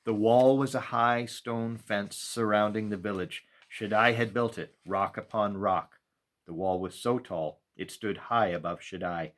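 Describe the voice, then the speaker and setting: A man with a low voice narrates a story for children. He reads in a measured storytelling style, shaping phrases expressively and leaving deliberate pauses. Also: steady tone, a bit bright. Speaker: pastor reading a children's story. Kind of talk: storytime read-aloud narration.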